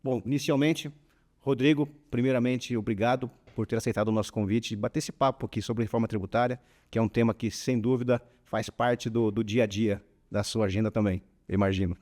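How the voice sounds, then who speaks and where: A Brazilian man hosting a corporate talk, speaking formally and measured with hesitant fillers. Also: medium pitch, fairly even intonation, darkish tone. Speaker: host, company product director.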